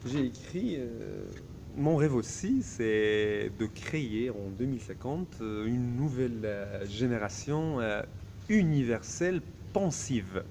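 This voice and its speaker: A man's voice: mid-range voice, lively intonation, slow, darkish tone, slight background noise. A man whose first language is Arabic, delivering a grandiose solo video monologue in a self-assured tone, full of hesitations. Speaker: vlogger.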